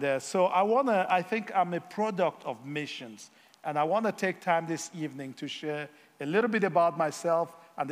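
A man, a guest missionary speaker giving a sermon, pausing often with fillers and self-deprecating humor. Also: on the high side, big-room sound. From Africa. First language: Yoruba.

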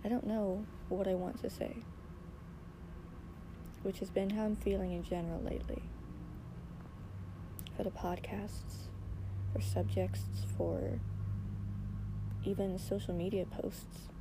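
A woman hosting a personal podcast while losing her voice; she talks softly and haltingly, raspy and hoarse, with long pauses. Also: mid-range voice, little pitch movement, background noise. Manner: soft-spoken, halting, reflective, many pauses. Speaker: podcast host. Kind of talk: personal solo podcast monologue.

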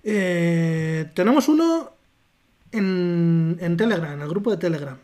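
A woman's voice: fairly low pitch, lively intonation, measured pace, warm tone. Playful, sweary Spanish woman chatting. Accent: Spanish from Spain. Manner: joking, sweary, teasing. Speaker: podcast co-host. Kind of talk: casual humorous chat, reading out listener comments.

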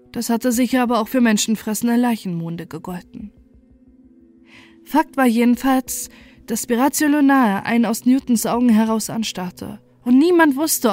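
A woman's voice: fairly high pitch, darkish tone. A woman reading a scripted first-person horror story as narrator, in a sober and controlled manner.

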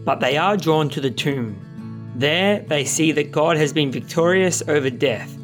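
A man's voice: on the high side, measured pace. A man giving scripted devotional narration as an introducer. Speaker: narrator introducing reading and reflection. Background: plucked guitar music.

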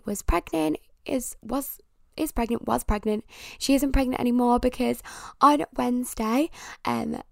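A young woman with a croaky voice hosting her own podcast, chatting casually and rambling with lots of fillers. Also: fairly high pitch, a little mellow, no echo, studio quality. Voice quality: croaky, gravelly voice. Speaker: podcast host. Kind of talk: casual solo podcast chat.

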